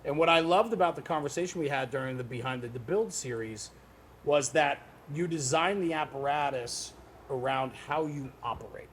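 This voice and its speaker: A man's voice: fairly high pitch, moderate pace, a bit bright, a little hiss or hum. A man hosting a conversational interview, speaking emphatically and in listed phrases. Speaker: podcast host and interviewer.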